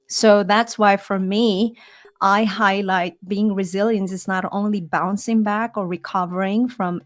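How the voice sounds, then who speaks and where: Here is a middle-aged woman co-hosting a conversational talk show. Her voice sits at a medium pitch, and she talks in long, flowing, earnest stretches. She fills them with "you know"s and keeps her delivery expressive. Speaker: podcast co-host.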